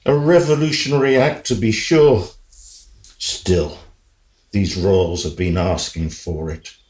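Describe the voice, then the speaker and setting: Talking horse, male actor, radio play. Low, unhurried, self-pitying, wry and indignant. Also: fairly even intonation, darkish tone, reverberant. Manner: theatrical, droll, indignant.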